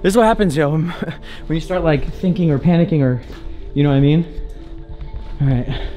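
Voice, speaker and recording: A young man in his twenties talking excitedly to a handheld camera as he explores an abandoned sea fort, thinking aloud. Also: a little high, normal speed, faint music behind. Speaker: urban explorer vlogger. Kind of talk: casual handheld vlog narration. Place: inside an abandoned sea fort, concrete corridors and rooftop.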